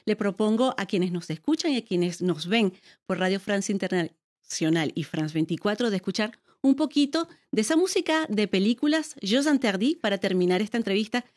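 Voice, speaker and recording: A woman radio interviewer who gently prompts and encourages her guest with questions. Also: mid-range voice, lively intonation, normal speed. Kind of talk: broadcast interview.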